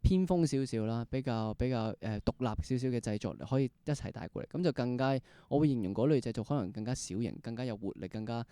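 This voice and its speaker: A man's voice: medium pitch, animated, fast talker, few pauses, dark tone, smooth, no echo. A man with a Hong Kong Cantonese accent hosting a casual interview, often repeating and rephrasing as he explains. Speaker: podcast interview host.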